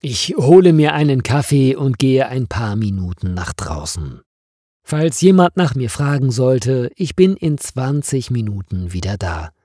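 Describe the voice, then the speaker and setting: An exasperated, puzzled office woman from a storybook, read by a man. The words come slowly and emphatically, with a mellow tone and lively swings in pitch. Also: no room sound, clean studio sound. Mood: matter-of-fact. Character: Liane, exasperated, puzzled female office worker.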